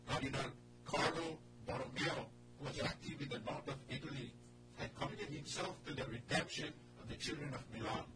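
A man reading out a written message in a declamatory, measured way. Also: mid-range voice, very noisy. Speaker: guest reader. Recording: loud music behind, distant mic.

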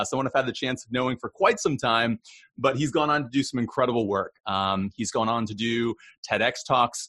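A male podcast host with a husky voice who asks friendly, rambling questions in a casual interview. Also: mid-range voice, a bit bright.

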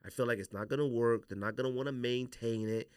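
A man hosting a podcast, talking casually with slangy, opinionated, animated delivery. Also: mid-range voice, fast talker, clean tone, no echo, studio quality. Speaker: podcast host. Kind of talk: casual sneaker podcast debate.

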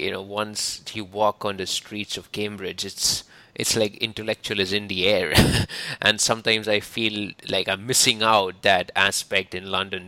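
Upbeat podcast host, bright and crisp. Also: male voice, fairly low pitch, dry recording, rough recording. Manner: upbeat, laughs often. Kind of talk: interview hosting. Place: lives in London.

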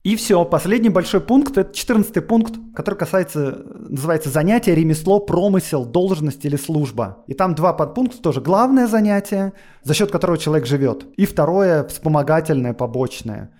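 Guy explaining old history stuff on a podcast, kinda high voice, lots of um and like. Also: expressive, brisk, darkish tone. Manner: lecturing, many fillers and asides. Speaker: guest historian.

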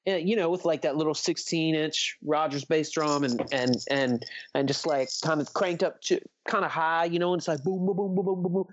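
A man's voice: a little high, steady tone, fairly quick, a little hiss or hum. A man in his fifties co-hosting a podcast from home, chatting casually and rambling, tacking "man" onto his sentences. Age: 50s. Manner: laid-back, rambling, says "man" a lot. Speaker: podcast co-host, drummer. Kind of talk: casual chat. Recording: slightly muffled sound.

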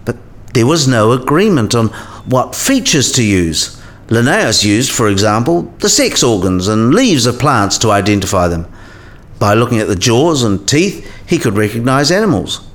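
A man's voice: mid-range voice, sing-song, moderate pace. A man playing a learned professor in a radio play, explaining science history in a precise, didactic way. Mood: measured, instructive.

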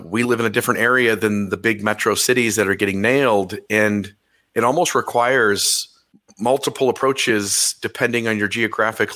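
Male podcast host, a bit hoarse and crackly, lower pitch, bright tone, halting casual talk. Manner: halting, many fillers and restarts. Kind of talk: casual chat.

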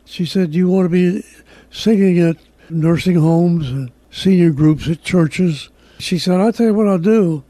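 A man's voice: high voice, unhurried, mellow, no echo. A man in his nineties casually reminiscing as an interview guest. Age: 90s. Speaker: interview guest, amateur senior-circuit singer.

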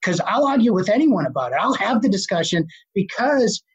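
A middle-aged speaker with a Boston accent, answering an interview question in a confident, forceful, passionate way. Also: mid-range voice, big pitch swings, brisk, slightly rough. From Boston, USA. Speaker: guest, health advocate. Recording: dull lo-fi recording.

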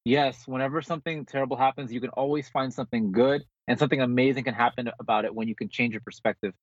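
A man heard over a slightly muffled, lo-fi call, talking quickly with a husky edge. He is a motivational speaker and author answering in a conversational interview style. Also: medium pitch, fairly even intonation, no room sound. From born in the Philippines, grew up in New Jersey. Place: remote call into the show. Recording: dull lo-fi remote call.

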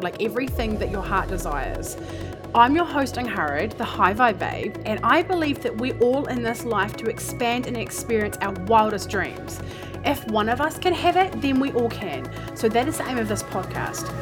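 A woman hosting a podcast, talking in a gushing, enthusiastic way with many "likes". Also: on the high side. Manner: gushing, enthusiastic, says "like" often. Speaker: podcast host. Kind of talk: upbeat podcast chat.

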